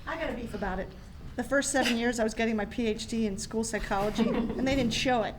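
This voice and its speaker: A brazen, party-loving sitcom woman played by an actress gripes in a fairly high voice. There is clear noise and clicking in the recording. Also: fast talker, close to an everyday voice. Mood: aggrieved, brazen. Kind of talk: sitcom script table read.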